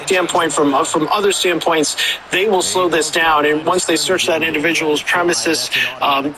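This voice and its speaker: A man's voice: a little high, poor audio. Formal male news analyst. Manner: measured, formal, hesitant fillers. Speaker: law enforcement analyst. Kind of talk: news commentary.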